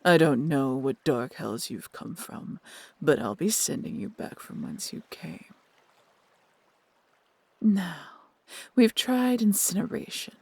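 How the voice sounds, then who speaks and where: A woman voicing a huge, proud, protective female dragon in a fantasy audio roleplay, with breathy, husky delivery and tender, formal, theatrical phrasing. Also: deep voice, a little mellow, no echo, full character voice. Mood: menacing, proud.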